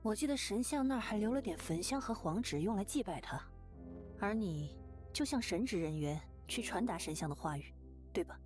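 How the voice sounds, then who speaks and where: A woman's voice: medium pitch, lively intonation, a little fast, natural voice. An actress playing a perceptive, direct young woman in a visual novel, speaking in a probing, self-assured way. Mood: curious. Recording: clear game music behind the voice. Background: game music.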